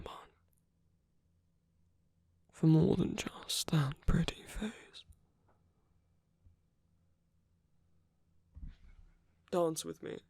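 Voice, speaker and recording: A suave, seductive villain, as a performer acts one out for romantic ASMR, murmurs very slowly in a husky, heavily breathy voice that often falls to a whisper. The manner is intimate, teasing and coaxing, with a creaky edge. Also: medium pitch, mellow, no echo, full character voice. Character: suave seductive villain courting the listener at a ball.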